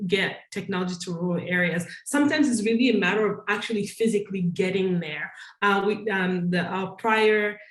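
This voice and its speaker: A woman's voice: mid-range voice, echoey room, poor audio. A woman from Congo giving a conference talk, thoughtful and earnest, often restarting her sentences with fillers. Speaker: conference panelist.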